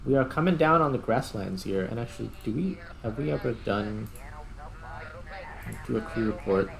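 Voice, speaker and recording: A man giving casual gameplay commentary, thinking aloud in short, halting remarks. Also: medium pitch, lively intonation, gravelly, a little hiss or hum, rough recording. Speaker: gaming host.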